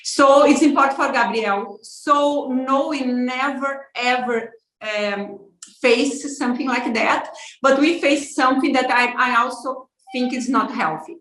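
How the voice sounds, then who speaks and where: A woman from Brazil answering interview questions in hesitant English full of pauses and fillers. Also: sits high, a bit bright. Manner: slow, hesitant, halting English with many fillers. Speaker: interview guest, parent. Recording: roomy echo.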